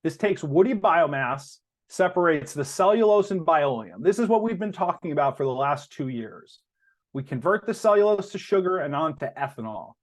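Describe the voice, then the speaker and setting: A man speaks as a company executive presenting to investors. His voice sits a little high and moves with very animated intonation. He explains in a deliberate way, leaning on the key terms.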